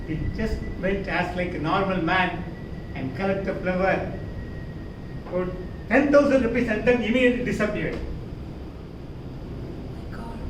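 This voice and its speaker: A man with an Indian accent performs a story as a contest speech. His delivery is high-pitched, emphatic and theatrical, broken by deliberate pauses. He is picked up from a distance, with clear rumbling noise behind him. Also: roomy sound. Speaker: contest speaker.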